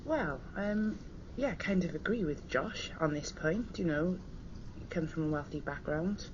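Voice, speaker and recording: A woman with a broad Cardiff accent, plain-spoken and direct as a radio guest. Her voice is notably low for a woman. The broadcast sound is dull and slightly hissy. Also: expressive, moderate pace, a little mellow. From Cardiff, Wales. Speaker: studio guest. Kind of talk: radio discussion. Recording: dull broadcast audio with faint hiss.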